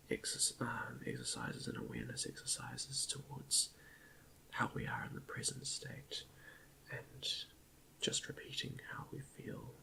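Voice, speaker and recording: A man speaks in a hushed, half-whispered voice thick with vocal fry, guiding a meditation in ASMR style. His delivery is gentle and slightly hesitant. He sounds close to the microphone, with chalk clicking on a board behind him. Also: medium pitch, low-quality recording. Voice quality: soft, breathy half-whisper with creaky fry. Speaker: guided meditation host. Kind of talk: ASMR guided meditation, quiet talking.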